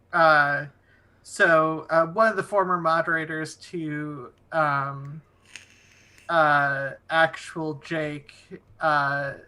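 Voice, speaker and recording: A man speaking as a guest in a casual political chat, halting with many fillers and swearing. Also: a little high, pushed and bright. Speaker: guest co-host.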